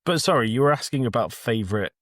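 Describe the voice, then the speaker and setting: A man's voice: mid-range voice, lively intonation, quick pace, dry recording, studio quality. A man co-hosting a podcast in casual film review banter, blunt, sweary and facetious. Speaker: podcast co-host.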